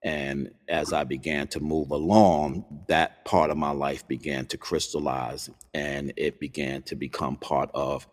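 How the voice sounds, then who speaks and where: An earnest middle-aged male host with an extremely deep voice, often pausing on fillers as he talks. He speaks into a studio mic over a video call, with a long reverb. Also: flat delivery. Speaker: podcast host. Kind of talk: interview conversation. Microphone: studio microphone on video call.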